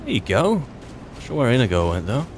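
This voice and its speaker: A man's voice: medium pitch, huge pitch swings, moderate pace, a little hiss or hum. A man improvising in-character commentary as he roleplays through a video game, musing and wry as he chats.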